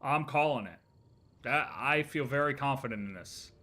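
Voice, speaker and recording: A man's voice: medium pitch, animated, moderate pace, pushed and bright, soft background music. A man chatting casually as a game livestreamer, emphatic and slangy, often repeating his phrases.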